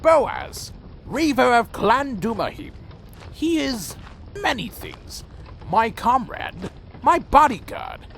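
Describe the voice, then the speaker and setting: A man's voice: extremely high pitch, big pitch swings, slow pace, vocal fry, a bit bright, slight background noise, exaggerated voice. A man playing a weary, proud winged vampire clan leader in a fan audio drama, speaking formally and broodingly. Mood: solemn, brooding.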